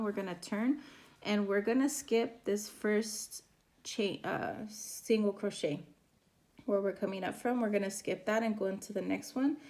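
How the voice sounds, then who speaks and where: A woman teaching a crochet pattern step by step, her voice raspy from a sore throat as she counts stitches aloud. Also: mid-range voice, a little mellow. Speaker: crochet instructor. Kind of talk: step-by-step craft tutorial.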